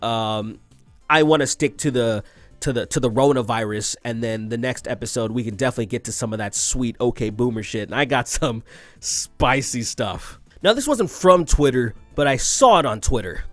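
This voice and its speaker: A man's voice: medium pitch, no echo. A young man hosting a commentary show, ranting crudely and sarcastically and laughing at his own jokes. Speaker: commentary video host. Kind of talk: ranting commentary on tweets and news.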